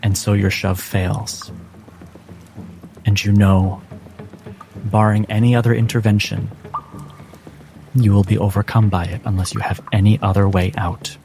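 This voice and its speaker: A man running a tabletop game as game master, switching between rules talk and deliberate, dramatic narration. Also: lowish voice, little pitch movement, creaky, a little mellow. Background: background music.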